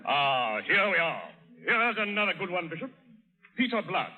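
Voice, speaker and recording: An actor playing a businesslike slave auctioneer in an old-time radio drama, calling out in a hawking manner. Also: male voice, high-pitched, big pitch swings, moderate pace, phone-quality sound. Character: brisk slave auctioneer hawking human stock.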